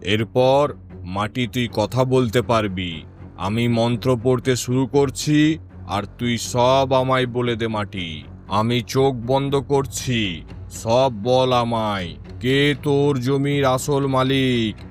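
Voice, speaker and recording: A stern, shrewd cartoon king, acted by a man, speaks slowly and with deliberate authority. His theatrical pronouncements carry over background music. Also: medium pitch, close to an everyday voice. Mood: commanding. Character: stern, shrewd king judging a land dispute. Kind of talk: cartoon folk tale acting.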